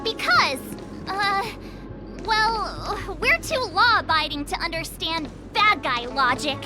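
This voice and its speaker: A theatrical young girl detective from a video game. Her voice is high and very lively, cocky and playful in manner. Music plays clearly behind her. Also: takes it slowly, heavily acted. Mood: defensive, playful. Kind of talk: animated video game voice acting.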